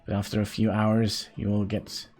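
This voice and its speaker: A man's voice: lowish voice, monotone, normal speed, a little mellow, faint music behind. A man from Norway, chatting casually to his live-stream viewers while he plays, laughing often. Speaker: game streamer.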